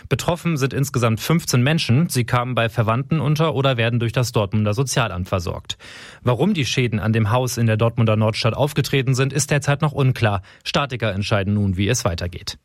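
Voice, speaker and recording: A man's voice: mid-range voice, dry recording. Male reporter, factual, dry close sound. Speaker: radio reporter. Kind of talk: read news report.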